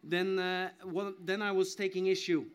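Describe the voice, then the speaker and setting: A man's voice: high voice, level tone, normal speed, smooth. A man speaking off the cuff as an academic guest in a discussion, often restarting his phrases. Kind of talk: spontaneous panel explanation.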